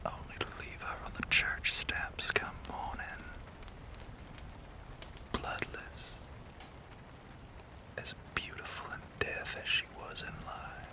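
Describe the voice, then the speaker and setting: A man playing a courtly, menacing, ageless vampire with a Southern US accent, in an intimate audio roleplay, breathy and mostly whispered, with theatrical, genteel phrasing and long pauses. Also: close to an everyday voice. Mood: ominous storytelling. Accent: American South.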